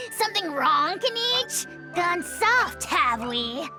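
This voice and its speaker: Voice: very high-pitched, sing-song, extremely slow, heavily acted. An actor voicing a tiny, arrogant, bratty dragon creature in a video game, mocking and boastful, with music behind. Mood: mocking glee. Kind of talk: dubbed video game cutscene acting.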